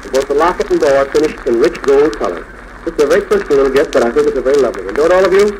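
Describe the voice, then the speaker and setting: Noisy 1940s radio, fast high-voiced male host. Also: animated. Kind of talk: 1940s radio hosting, announcing gifts.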